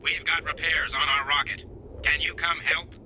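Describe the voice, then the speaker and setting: An actor playing a mocking young man in his twenties in a radio drama, brisk and coolly taunting. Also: a little high, flat delivery, creaky, sharp tone, a little hiss or hum, exaggerated voice. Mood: brisk, official. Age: 20s.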